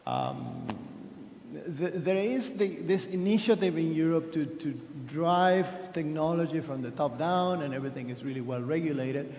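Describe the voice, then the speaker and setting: A man's voice: on the high side, normal speed, darkish tone, slight background noise. A man from Argentina giving a chatty, self-deprecating keynote talk at a conference, with many restarts. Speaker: conference speaker. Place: large conference hall. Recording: echoey hall with long reverb.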